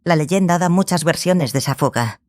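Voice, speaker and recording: Low-voiced stern sorceress mentor played by a woman. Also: no room sound, clean studio sound, lightly acted. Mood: composed. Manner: measured, authoritative. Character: composed, stern female sorceress mentor instructing her pupil.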